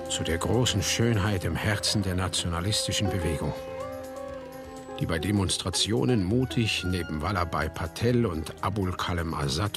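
A man narrating a literary radio drama from a script. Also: low-pitched.

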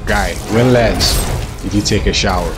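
A young man with a cold, hosting a gaming channel and talking over his gameplay in a chatty, joking way, with a hoarse voice. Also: lowish voice, moderate pace, loud music behind the voice. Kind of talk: casual gameplay commentary.

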